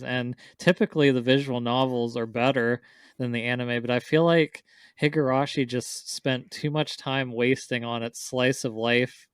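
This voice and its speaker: A man with a clear, resonant voice talks casually as a podcast co-host. His pitch stays fairly flat as he rambles through informal explanations, and the sound is dry and close. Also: no echo. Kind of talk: casual chat, discussing visual novels.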